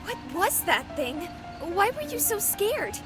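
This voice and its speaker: A woman playing a nosy, excitable young streamer in a visual novel, with a casual, sarcastic way of talking. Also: extremely high pitch, unhurried, over music, full character voice. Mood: curious.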